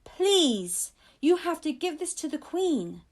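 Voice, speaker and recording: Anxious, earnest storybook child performed by a woman; high, animated, pleading delivery. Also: slow pace, heavily acted. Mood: urgent pleading. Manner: urgent, emphatic pleading.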